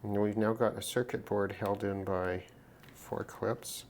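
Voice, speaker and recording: A man giving casual, unscripted commentary on a hands-on repair, halting and musing to himself as he works. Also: lowish voice, fairly even intonation, darkish tone. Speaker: host of a hobby radio repair video. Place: at a desk, indoors. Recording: clear background noise, handling clicks.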